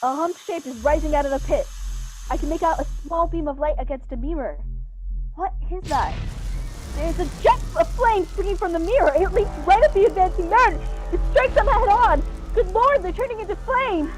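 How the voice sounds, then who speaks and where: A young woman playing an excitable, frightened radio reporter giving a dramatic eyewitness account in a radio play. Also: very high voice, low-quality recording. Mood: anxious, hushed, then panicked. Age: young adult. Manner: dramatic, urgent narration with pauses. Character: excitable radio news reporter, gripped by mounting terror. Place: living room at home. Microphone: earphone mic on a video call.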